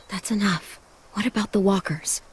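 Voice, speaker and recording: A woman playing a tough, protective teenage girl in a dramatic video game, plain-spoken, steady and earnest. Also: medium pitch, unhurried, breathy edge. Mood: firm, pleading. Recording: music under the voice.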